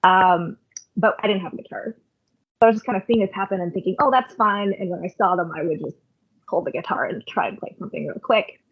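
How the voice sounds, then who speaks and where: A woman's voice: medium pitch, fast, husky. A woman, a podcast guest, chatting in a reflective way and telling stories with plenty of "like" and "um". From Canada. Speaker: podcast guest, worship leader. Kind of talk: casual conversational storytelling. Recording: phone-like call line.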